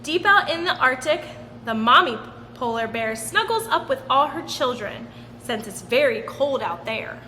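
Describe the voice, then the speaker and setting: A woman reading a picture book aloud for children in an animated, sing-song storytelling manner. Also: sits high, measured pace. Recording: roomy long reverb with background noise.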